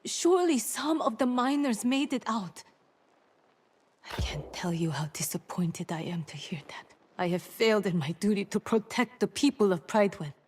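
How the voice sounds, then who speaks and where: A woman playing a dutiful female town leader in a fantasy game, speaking in a measured, formal and earnest way. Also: medium pitch, lively intonation, a little hiss or hum, close to an everyday voice. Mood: concerned, then dismayed. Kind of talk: scripted fantasy game dialogue.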